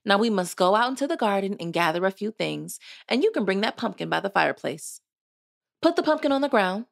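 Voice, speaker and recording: A woman voicing a tiny, twittering fairy godmother in a storybook, kindly and bossy, with a brisk, affectionate way of talking. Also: medium pitch, lively intonation, creaky, pitched above the performer's own voice. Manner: brisk, affectionate, chanting rhymed spells.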